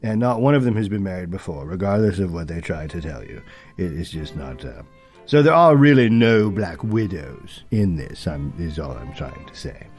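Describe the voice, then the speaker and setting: A low-pitched, doddering old British gentleman, acted by a man delivers a comic film review over music. He is opinionated yet easily muddled, halting, losing his thread and muttering asides as he rambles. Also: sing-song. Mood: dismissive, musing.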